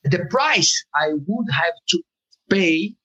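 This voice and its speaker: A man's voice: high voice, expressive, takes it slowly, bright, forceful tone, breathy edge, dull audio. A man being interviewed about his work as a cartoonist, speaking as a with many fillers and restarts. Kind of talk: conversational interview answer.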